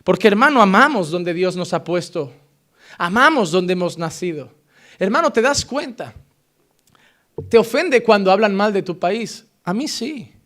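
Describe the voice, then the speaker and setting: High-voiced Spanish preacher man. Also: expressive, measured pace. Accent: Spain Spanish. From Spain. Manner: impassioned preaching, swinging between loud emphasis and quiet earnest passages. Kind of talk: sermon.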